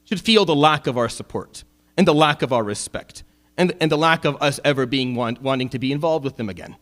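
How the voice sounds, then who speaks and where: A middle-aged man from Syria, giving a Friday sermon as an imam, impassioned at times and dropping low and wry at others. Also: brisk, a bit bright. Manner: impassioned, rising to emphatic peaks then dropping low and wry.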